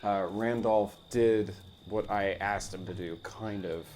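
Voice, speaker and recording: A man's voice: on the low side, fairly even intonation, slow, a little mellow, slight background noise. A man chatting casually as a player in a tabletop role-playing game, describing what his character does.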